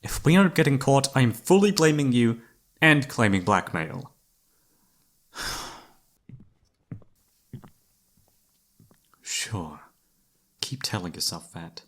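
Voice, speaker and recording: A man's voice: medium pitch, expressive, a little slow, pauses often. A man playing a devoted young knight in a romantic fantasy ASMR roleplay, speaking in a courtly yet playfully teasing manner. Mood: grudging. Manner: formal, courtly, playfully teasing, soft-spoken sighs.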